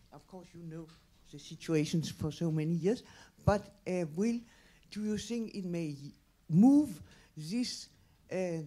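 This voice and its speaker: A woman's voice: lowish voice, warm tone. An older woman with a Danish accent, making a hesitant, repetitive personal comment and question from the audience. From Denmark. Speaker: audience member asking a question. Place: audience at a public talk.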